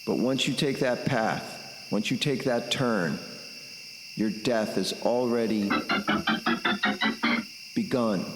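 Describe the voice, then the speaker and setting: A man delivering an intense, blunt, reflective personal monologue with deliberate pauses. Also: medium pitch. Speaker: podcast host and musician. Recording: roomy echo, long reverb, music underneath.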